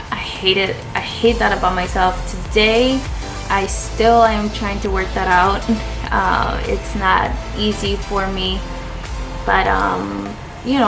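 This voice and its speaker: A woman's voice: medium pitch, vocal fry, low-quality recording. A young woman from Chile talking hesitantly about her personal life in a vlog, with lots of fillers and restarts. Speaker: vlogger.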